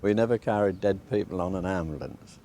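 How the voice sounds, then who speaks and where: A man in his eighties, a war veteran, plainly and haltingly recollecting his service in an interview. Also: deep voice. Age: 80s. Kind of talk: personal recollection. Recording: loud background noise, clicks.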